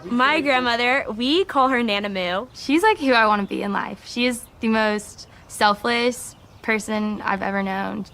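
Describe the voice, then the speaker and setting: A woman's voice: fairly high pitch, a little slow, few pauses, fairly bright tone. A woman speaking casually as an interviewee, reminiscing about family. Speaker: documentary interviewee.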